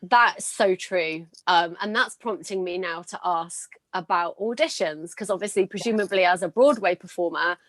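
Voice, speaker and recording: A woman with a slightly gravelly voice hosting a podcast. She shares stories in an earnest, encouraging way. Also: on the low side, moderate pace, hardly any pauses, fairly bright tone, no room sound. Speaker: podcast host. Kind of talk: casual interview chat.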